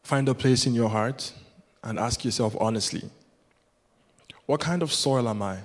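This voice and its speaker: A man's voice: medium pitch, measured pace. Man preaching in a reverberant church. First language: Yoruba. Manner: earnest, rhythmic, builds with repetition. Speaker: guest preacher. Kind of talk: sermon. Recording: long hall reverb.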